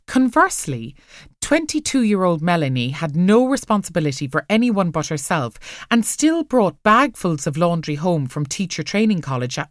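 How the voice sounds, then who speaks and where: Expressive low-voiced woman narrator. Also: dry recording, studio quality. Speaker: audiobook narrator. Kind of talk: scripted storytelling narration of fiction.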